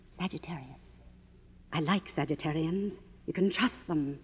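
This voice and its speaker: A woman's voice: low-pitched, measured pace, slightly rough, full character voice. A woman playing an imperious, vain and volatile faded film star, with grand theatrical delivery in a 1950s radio drama. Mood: haughty, coaxing. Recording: muffled old radio broadcast, reverberant.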